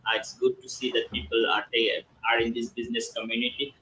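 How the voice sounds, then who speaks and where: A man from Bangladesh hosting a live online interview, talking haltingly with many filler sounds. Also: medium pitch, flat delivery, sharp tone. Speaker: host. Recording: echoey room with faint ambient noise.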